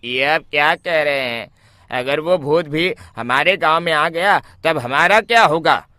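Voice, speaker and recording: A man's voice: on the high side, unhurried, bright, forceful tone, clear, resonant tone, no echo, studio quality. A man voicing a timid young groom-to-be in a cartoon, nervous and pleading. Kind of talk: cartoon voice acting.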